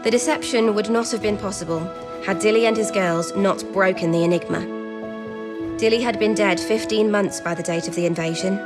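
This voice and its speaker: An actress playing an eager, warm-hearted young female codebreaker in a wartime audio drama. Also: medium pitch, fairly quick, over music, close to an everyday voice. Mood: reflective. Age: young adult.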